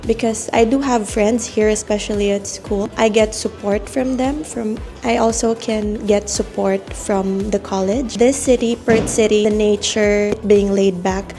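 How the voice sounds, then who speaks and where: A woman from the Philippines talks about her studies in a relaxed, pausing way. Her voice is mellow with some fry, and soft music plays behind her. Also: medium pitch. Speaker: student. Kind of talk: interview answers.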